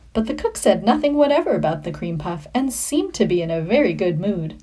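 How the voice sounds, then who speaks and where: A woman reading a children's story like a teacher, with expressive, deliberate phrasing. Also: medium pitch, slightly rough.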